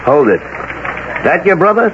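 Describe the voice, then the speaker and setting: A man's voice: fairly high pitch, big pitch swings, slow, noisy background. An actor playing a brash, wisecracking man in a 1970s radio drama, talking in slangy, punchy phrases. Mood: brusque, bantering. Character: brash, wisecracking male pal of a reporter. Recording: dull old radio recording.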